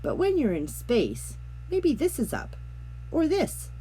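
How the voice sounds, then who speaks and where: A woman voicing a dreamy, curious young girl in a storybook, speaking softly as if to herself. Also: sits high, wildly swooping pitch, slow, slight background noise, pitched above the performer's own voice, full character voice, poor audio. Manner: soft, wondering, talks as if to herself.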